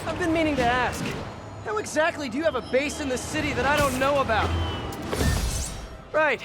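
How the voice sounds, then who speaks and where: A young American video game superhero with a very high-set voice for a man. He is earnest and talkative, with a wry, self-mocking streak. Music plays clearly behind him. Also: moderate pace. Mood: wry, rambling. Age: young adult.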